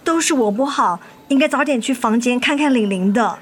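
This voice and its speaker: A woman's voice: fairly high pitch, a little fast, natural voice. An actress playing a hurt, anxious mother in a radio drama, with a guarded, sorrowful, emotional manner. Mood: fearful, anguished. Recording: music under the voice.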